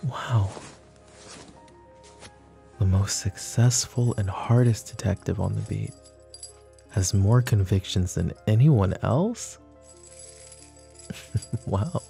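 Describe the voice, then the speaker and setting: A man's voice: lowish voice, takes it slowly, gravelly, pauses often, dark tone, faint music behind. A man playing a smug, flirty, possessive mafia boss in an ASMR roleplay, speaking in a teasing, mocking, intimate drawl. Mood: taunting.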